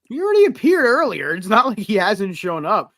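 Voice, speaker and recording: A man's voice: high voice, wildly swooping pitch, fairly quick, pushed and bright, no room sound, clean studio sound. A man in his thirties co-hosting a sports podcast livestream, teasing and laughing easily in casual banter. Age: 30s. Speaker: podcast co-host.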